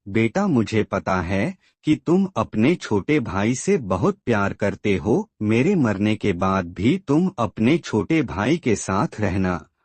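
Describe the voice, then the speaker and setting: A man playing an ailing, gentle old father in a cartoon moral story, earnest and emotive. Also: on the low side, husky, no echo, heavily acted. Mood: pained, pleading.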